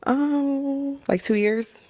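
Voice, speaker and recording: A woman's voice: sits high, drawn-out words, no room sound. A woman in her thirties from Liberia, answering questions on a dating show in short, hesitant replies. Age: 30s. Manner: brief, soft-spoken answers with hesitations.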